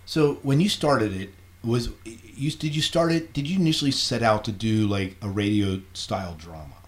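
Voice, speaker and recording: A man's voice: fairly low pitch, moderate pace. A man hosting a podcast, asking his guest questions in a casual, chatty style. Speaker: podcast host, interviewer. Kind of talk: casual interview chat.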